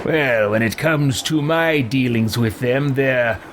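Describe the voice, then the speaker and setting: A man voicing an arrogant, scheming, power-hungry mage in a haughty, deliberate way for a game roleplay. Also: medium pitch, background noise. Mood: haughty, musing.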